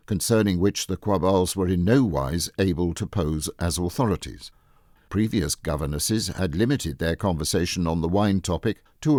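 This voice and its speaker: A man narrating a literary short story as a scripted audiobook, in a measured, formal storytelling manner. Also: low-pitched, darkish tone, no room sound, clean studio sound.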